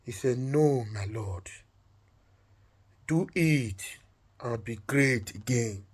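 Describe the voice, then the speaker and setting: A man voicing a devoted, self-sacrificing wife of a king, speaking softly, solemnly and deliberately in an oral folktale. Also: medium pitch, husky.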